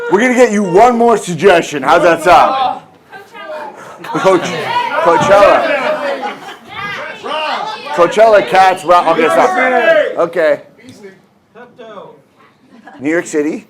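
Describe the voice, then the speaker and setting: A man's voice: high voice, slow, pauses often, a crowd in the background. A man performing and hosting an improv show, upbeat and giggly. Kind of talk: improv comedy. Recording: live stage with audience laughter.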